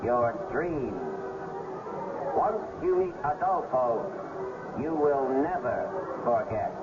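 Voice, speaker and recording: Slow, grandiose carnival pitchman. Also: lively intonation. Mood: grand, enticing. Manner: slow, theatrical, portentous. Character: grandiose carnival fortune-teller pitchman on a promotional tape. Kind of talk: 1980s radio drama acting. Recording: noisy, muffled carnival tape playback.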